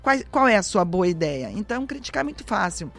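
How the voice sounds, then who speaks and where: Brazilian woman, 60s, veteran educator, emphatic and warm, faint music behind. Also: mid-range voice, very animated, a little fast. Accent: Brazilian Portuguese. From central-west Brazil. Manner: warm, emphatic, speaks with conviction. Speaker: retired teacher and former councilwoman. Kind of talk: live radio interview guest.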